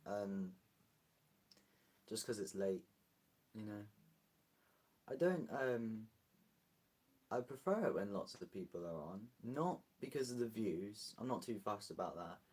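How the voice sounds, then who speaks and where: A man's voice: fairly low pitch, animated, unhurried, long pauses, a little mellow, breathy edge. A British man streaming live, chatting casually and rambling as he answers viewer comments. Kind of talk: casual livestream chat.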